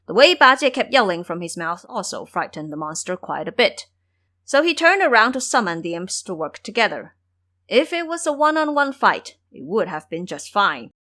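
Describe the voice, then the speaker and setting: A woman's voice: medium pitch, sharp tone. A woman narrating a fantasy audio drama as a scripted, dramatic storyteller.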